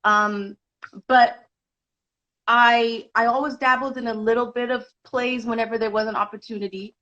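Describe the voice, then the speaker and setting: A woman's voice: medium pitch, a bit bright, poor audio. Reflective woman from Zimbabwe, guest on a theatre podcast, storytelling manner. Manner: reflective storytelling, frequent restarts. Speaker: guest actor and director. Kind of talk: podcast interview.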